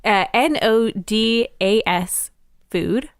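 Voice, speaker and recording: A woman's voice: medium pitch, animated, slow, no echo, studio quality. A woman co-hosting a casual podcast, upbeat and explaining things at length with lots of "like". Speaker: podcast co-host.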